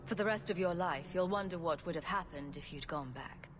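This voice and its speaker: A woman's voice: lowish voice, brisk, big-room sound, noisy background, natural voice. An actress playing a sarcastic female rogue adventurer in a video game, flippant and dryly humorous. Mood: wry. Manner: flippant, dry humour. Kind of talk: dramatic video game dialogue.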